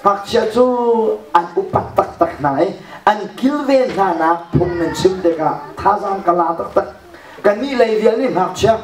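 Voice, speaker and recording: A middle-aged man preaching a sermon into a handheld mic in a church hall, animated and often laughing. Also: high-pitched. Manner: animated, gesturing with one hand, often laughing. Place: church hall with a projection screen. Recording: echoey hall, recorded from far off.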